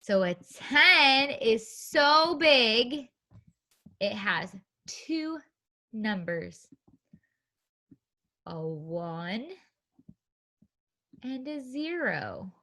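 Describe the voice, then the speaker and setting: A woman leads a read-aloud lesson for small children. Her voice is fairly high and very bright, and she takes her time with each short phrase. She is encouraging and teacherly, with a sing-song way of guiding them. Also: lively intonation, drawn-out words, pauses often. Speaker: preschool teacher reading a story aloud.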